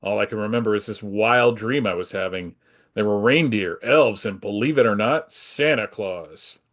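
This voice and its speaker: A man's voice: on the low side, normal speed, fairly bright tone, pitched below the performer's own voice, natural voice. A man playing a confident, polite comic book superhero, earnest and composed, in a dramatic table read. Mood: kindly. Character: heroic, polite, confident adult male superhero.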